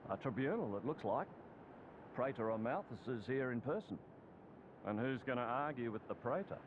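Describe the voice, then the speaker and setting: A man's voice: animated, normal speed, natural voice. Actor as a muffled, cynical male soldier. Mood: wry, resigned. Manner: blunt, wry. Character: cynical rank-and-file male soldier, resigned to orders. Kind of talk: game cutscene dialogue. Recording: dull sound, loud outdoor rumble.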